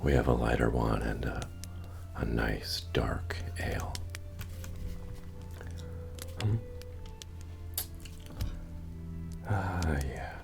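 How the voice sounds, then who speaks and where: A man playing a very deep-voiced, slow tavern keeper. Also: lively intonation, full character voice. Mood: teasing, wry. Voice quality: deep, gravelly, breathy murmur. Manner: unhurried, folksy, gently joking, hesitant asides. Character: friendly, wry medieval fantasy tavern keeper. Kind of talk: soft-spoken ASMR roleplay. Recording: soft music playing behind the voice. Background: bard-style music.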